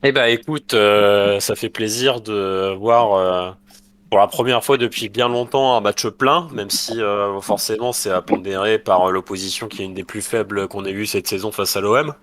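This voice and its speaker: Low, smooth male co-host with a cold, measured and hesitant football analysis. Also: steady tone, fairly bright tone. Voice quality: deep and smooth. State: has a cold. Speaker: podcast co-host.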